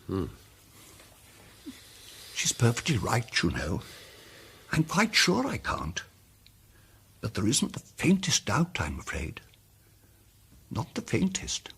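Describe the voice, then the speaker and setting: An actor playing a timid, apologetic amateur detective in a radio drama, with an English accent and a hesitant, precise way of talking. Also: male voice, medium pitch, huge pitch swings, takes it slowly, gravelly, long pauses, fairly bright tone, breathy edge, exaggerated voice. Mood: apologetic, troubled.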